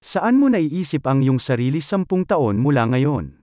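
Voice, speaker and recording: Man, fairly high and very lively voice, scripted phrase reading for a language course, dry recording. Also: normal speed, dry recording. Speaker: Filipino phrase reader.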